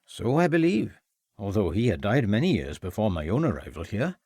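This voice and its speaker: A man voicing a loud, guarded male headmaster with a Welsh accent. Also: fairly low pitch, sing-song, brisk, breathy edge, dry recording, studio quality, full character voice.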